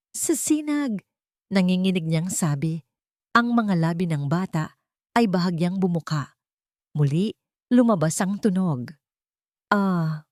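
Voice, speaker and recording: Filipino lady reading a drama story, lowish voice, short dramatic phrases, very dry sound. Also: darkish tone, no room sound. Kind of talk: scripted dramatic narration of a Tagalog audio drama.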